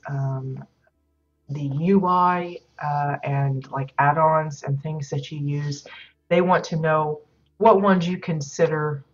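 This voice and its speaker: A woman hosting a game livestream in casual chat, a little hoarse and stuffed up, rambling with many ums and uhs. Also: low-pitched. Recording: slightly dull lo-fi audio.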